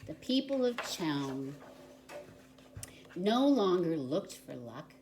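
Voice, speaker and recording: Slow, low-voiced woman reading a children's story. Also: noisy background. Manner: expressive, deliberate storyteller for young children. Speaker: volunteer story reader. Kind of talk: children's picture book read-aloud.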